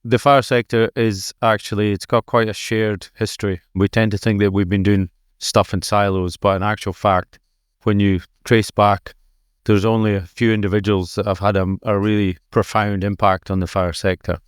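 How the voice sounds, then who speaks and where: A man's voice: fairly low pitch, little pitch movement, normal speed, clear, resonant tone, dry recording. Scottish guy telling a history story on a podcast, lots of ums, dry close sound. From Scotland. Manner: explanatory, hesitant with fillers. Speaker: podcast guest. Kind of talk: conversational storytelling. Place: conference exhibition booth.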